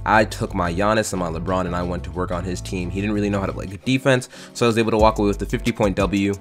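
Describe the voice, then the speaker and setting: Young man, low voice, quick rambling gaming commentary, faint music behind. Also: fairly even intonation. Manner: rambling, many fillers and restarts. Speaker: gaming YouTuber. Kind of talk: casual gameplay commentary.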